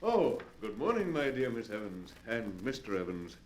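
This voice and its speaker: Old radio hermit gentleman, lowish voice, super polite and formal, bit sinister. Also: sing-song, moderate pace, music in the background. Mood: gracious. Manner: formal, genteel, insistent. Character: courteous, lonely male recluse host, faintly sinister and formal. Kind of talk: 1940s radio acting.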